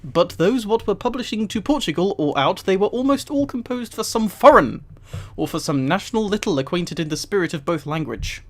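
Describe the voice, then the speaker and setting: Mid-pitched streamer, very lively intonation, giggly, amused reading aloud, casual commentary. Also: fairly quick. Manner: giggly, often breaking into laughter while reading.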